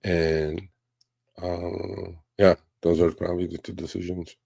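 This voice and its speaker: A man in his fifties from Turkey, hosting a livestream Q&A and telling stories in a relaxed, rambling way with many fillers. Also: low-pitched, measured pace, creaky, slightly rough, dry recording, studio quality. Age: 50s. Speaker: livestream host. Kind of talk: casual livestream Q&A.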